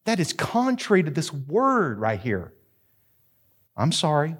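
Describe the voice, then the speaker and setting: A pastor in his fifties preaching with a lively, swooping voice that rides high for a man. He delivers his sermon from a church platform in an animated way, now and then breaking into a shout. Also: unhurried, slightly rough. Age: 50s.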